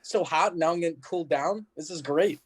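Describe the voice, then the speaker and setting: A man's voice: fairly high pitch, fairly quick, breathy edge. A man, a musician guest, chatting in an informal, rambling way. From United States. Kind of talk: casual chat.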